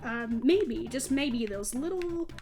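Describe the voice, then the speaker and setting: A woman with a high-pitched voice hosts a let's play. She chats casually to her viewers and pokes fun at herself as she goes. Faint video game music plays behind her commentary. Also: lively intonation, slow pace, mellow. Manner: chatty, self-deprecating. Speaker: gaming video host.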